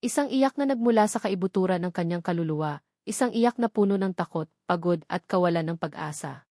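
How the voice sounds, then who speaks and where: A woman's voice: lowish voice, expressive, brisk, mellow, dry recording, clean studio sound. A woman reading a dramatic scripted story as a storyteller.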